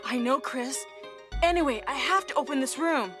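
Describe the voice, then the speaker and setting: A woman's voice: high-pitched, lively intonation, moderate pace. A woman playing an earnest young special police officer in a cheesy 1990s video game, with stilted, exaggerated delivery. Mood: determined. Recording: long reverb, faint music behind.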